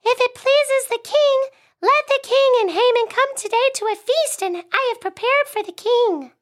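A woman playing a young queen in a school radio skit, in a high earnest voice. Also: normal speed. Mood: pleading.

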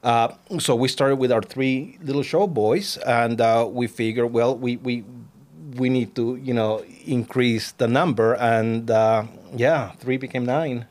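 A man's voice: mid-range voice, steady tone, a little slow, darkish tone. Chatty Peruvian-man. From Peru. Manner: chatty, repeats words, laughs often. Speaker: guest, alpaca farmer. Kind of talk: casual interview chat.

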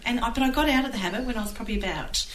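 A woman's voice: on the high side, very fast. A woman co-hosting a podcast, chatty and casual as she shares personal anecdotes. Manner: chatty, giggly. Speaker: podcast co-host. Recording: echoey room with faint noise.

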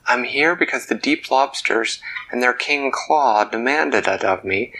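A man's voice: medium pitch, measured pace, bright, forceful tone, rough recording. A man voicing a brave, stubborn young male hero who speaks in a raised, insistent voice. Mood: defiant, demanding.

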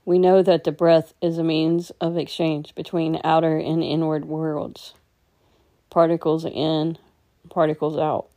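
Female meditation guide: deep register, very even delivery, gentle and unhurried. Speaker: meditation teacher.

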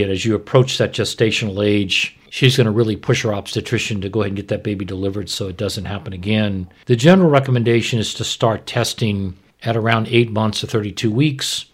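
Husky low-voiced man explaining medicine. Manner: informal, plain-spoken, instructive. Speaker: obstetrician, expert guest.

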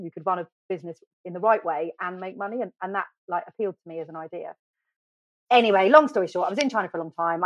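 Chatty, animated British woman guest. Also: fairly low pitch, dry recording. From United Kingdom. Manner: animated, chatty, self-deprecating, many fillers and restarts. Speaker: podcast guest, florist. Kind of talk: casual storytelling interview. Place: podcast studio. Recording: dry, slightly dull studio sound.

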